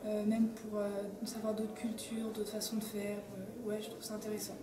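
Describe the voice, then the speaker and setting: A high school girl in her late teens answering interview questions plainly. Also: monotone, moderate pace, heavy background noise. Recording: echoey, distant, loud music behind.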